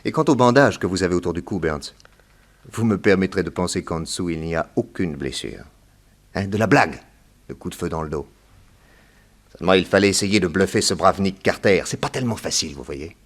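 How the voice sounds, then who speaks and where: An actor playing a shrewd, commanding detective in a crime radio drama, authoritative with a wry, knowing manner. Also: male voice, deep voice, animated, a little fast. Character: shrewd, commanding male detective, coolly ironic.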